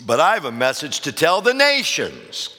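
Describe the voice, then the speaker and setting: Hoarse, hollering preacher man in a church. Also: fairly high pitch, wildly swooping pitch, normal speed, pushed and bright, slight background noise. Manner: hollering, call-and-response preaching. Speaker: pastor. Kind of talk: fiery sermon. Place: church sanctuary. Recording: long reverb of a church hall.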